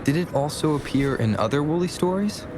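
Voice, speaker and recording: A man voicing a calm, kindly young adventurer companion in a video game, speaking attentively. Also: fairly high pitch, brisk, background noise, close to an everyday voice.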